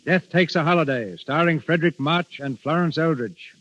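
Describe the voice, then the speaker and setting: A man hosting and narrating in formal, deliberate 1930s radio style. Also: on the high side, fairly bright tone. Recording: dull vintage radio recording, music behind.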